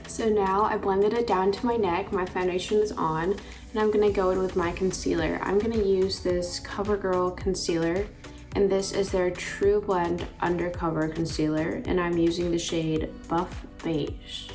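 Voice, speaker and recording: A young woman with a raspy voice, chatting casually through a makeup tutorial with lots of filler words. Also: lowish voice, little pitch movement, moderate pace, a little mellow, soft background music. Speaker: beauty vlogger.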